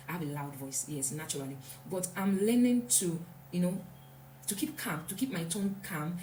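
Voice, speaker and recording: A woman's voice: fairly low pitch, a little mellow. A woman giving an earnest, reflective motivational talk and repeating points for emphasis. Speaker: vlogger. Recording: echoey room, faint hum.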